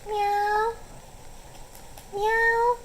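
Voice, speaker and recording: Woman doing a high-pitched insistent little cat. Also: flat delivery, very slow, fairly bright tone, a little hiss or hum, full character voice, rough recording. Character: small insistent orange pet cat meowing.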